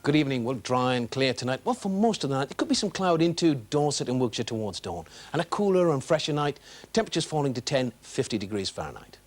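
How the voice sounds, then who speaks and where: A man's voice: medium pitch, very animated, fast talker. A man with a British accent presenting a television weather forecast in an informal, explanatory style.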